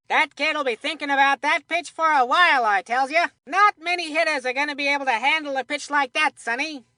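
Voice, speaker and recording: A woman's voice: high-pitched, pushed and bright, no room sound, studio quality, full character voice. A woman voicing an eager, chatty boy who works as a sports commentator in a cartoon video game, with a folksy, earnest announcer delivery. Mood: amazed, wincing.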